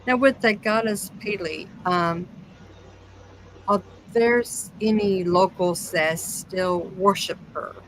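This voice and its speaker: Slow-paced woman hosting, faint noise. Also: medium pitch, poor audio. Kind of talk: casual interview questioning.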